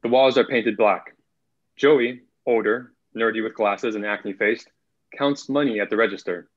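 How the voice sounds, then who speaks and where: Muffled low-voiced young man narrating. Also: normal speed. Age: young adult. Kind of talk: reading screenplay stage directions. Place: indoor room, video call. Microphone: video call, computer mic. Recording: muffled video call audio.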